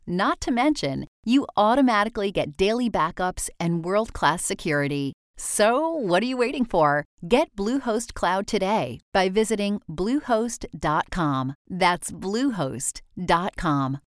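A woman's voice: mid-range voice, very animated, unhurried, dry recording, studio quality. A woman reading an advertisement in an upbeat, promotional delivery. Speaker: ad announcer. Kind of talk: read advertisement.

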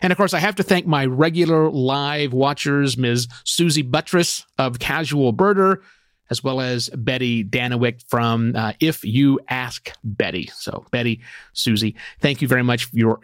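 A middle-aged man hosting a podcast in a casual, slightly halting, appreciative monologue. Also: medium pitch, dry recording, clean studio sound. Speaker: podcast host. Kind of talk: casual monologue to a live audience.

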